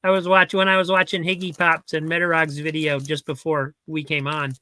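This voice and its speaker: A man chatting casually on a livestream, talking quickly about comics he is selling. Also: a little high, level tone, bright, forceful tone, smooth. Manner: quick, chatty, self-deprecating.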